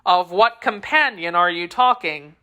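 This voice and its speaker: A woman's voice: lowish voice, unhurried, sharp tone, clean tone, lo-fi sound. A woman voicing a passionate young male swordsman who calls out in alarm.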